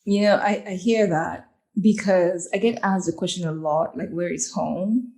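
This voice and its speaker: A woman host from Cameroon leads a casual interview with careful, probing questions. Her low voice has a gravelly edge and a mellow tone. She often restarts and repeats words. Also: normal speed. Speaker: podcast host.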